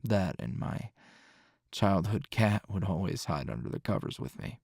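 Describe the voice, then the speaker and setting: A man playing a tender, teasing hero boyfriend in an intimate ASMR roleplay, soft-spoken with a husky, breathy voice and unhurried pauses. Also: deep voice, very animated, no room sound, studio quality, heavily acted. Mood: wry, reminiscing. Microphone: close, dry microphone.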